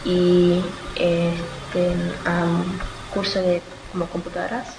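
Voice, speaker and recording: An eighteen-year-old woman from Australia answers interview questions informally, haltingly searching for words and correcting herself. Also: lowish voice, completely flat delivery, music in the background. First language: Spanish. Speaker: student being interviewed. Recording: echoey room with clear background noise.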